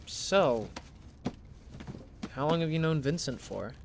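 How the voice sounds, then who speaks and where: Awkward, sarcastic teen with animated pitch , acted. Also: slow, noisy background. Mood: indignant. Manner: hesitant, grumbling, sardonic. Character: Wynn, awkward, sarcastic, suspicious teenage best friend. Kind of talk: naturalistic audio drama acting.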